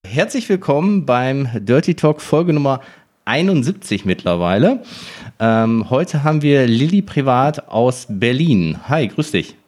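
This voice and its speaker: A man's voice: medium pitch, big pitch swings, a little slow, darkish tone. A man hosting a podcast, greeting his guest and asking friendly follow-up questions. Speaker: podcast host. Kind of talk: casual interview chat.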